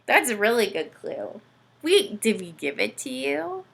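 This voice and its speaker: Lady doing kids storytime, kinda high husky voice, super animated, asks the kids stuff, takes her time. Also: measured pace. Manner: animated, addresses children with frequent questions. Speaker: children's read-aloud host with a puppet sidekick. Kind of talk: playful children's storytime presenting and read-aloud.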